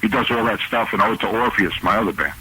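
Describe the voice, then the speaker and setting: A man's voice: lowish voice, fast talker, pushed and bright, husky, low-quality recording. A man, a drummer, talking casually as a guest on a radio interview, rambling and repeating words with lots of fillers.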